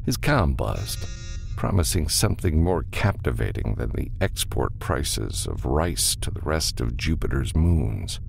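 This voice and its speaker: A man's voice: very deep voice, very animated, measured pace, gravelly. A man reading a science fiction audiobook as narrator, in a dramatic scripted style.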